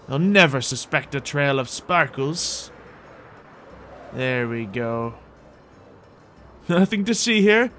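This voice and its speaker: A man's voice: mid-range voice, sharp tone. A man hosting a gaming roleplay video, giving playful, theatrical commentary as he talks to himself and his viewers. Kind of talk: in-character gameplay commentary. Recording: music behind the voice. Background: music.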